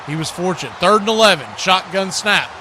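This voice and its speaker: A man's voice: high voice, animated, a little fast, noisy background. A man hosting a livestream, calling football play-by-play and chatting casually with viewers. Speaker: livestream host and play-by-play commentator.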